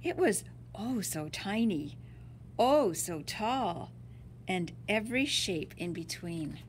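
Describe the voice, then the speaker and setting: A woman's voice: mid-range voice, lively intonation, slow pace, slight background noise. A woman reading a children's picture book aloud like a teacher, drawing out words for dramatic emphasis. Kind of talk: expressive storybook read-aloud.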